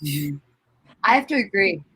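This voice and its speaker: A woman's voice: mid-range voice, very animated, a little slow, sharp tone, breathy edge, dry recording. A woman co-hosting a casual livestream from home, enthusiastic and laughing, swearing freely, on a webcam call. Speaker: co-host of a witchcraft livestream. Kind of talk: casual group chat. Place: home room. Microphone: webcam on a video call.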